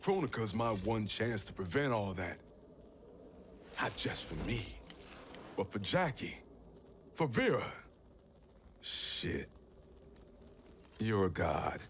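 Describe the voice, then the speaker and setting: Tough video game soldier: lowish, slow, blunt and slangy. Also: male voice, very animated, a little hiss or hum, heavily acted. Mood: pained. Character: gruff, bitter male veteran soldier. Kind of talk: video game cutscene acting.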